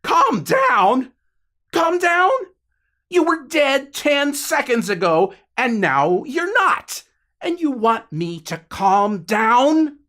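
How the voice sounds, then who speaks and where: A man playing an exasperated, incredulous guy, pitched up. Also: very animated, takes it slowly, pushed and bright, heavily acted. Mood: hysterical. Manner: exasperated, questioning. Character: Jonah, panicky, incredulous young man arguing with the devil.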